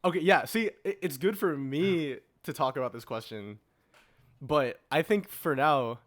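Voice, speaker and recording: A man's voice: a little high, measured pace, a bit bright. A man in his early twenties hosting a casual podcast discussion, informally steering and organizing the conversation. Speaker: podcast host.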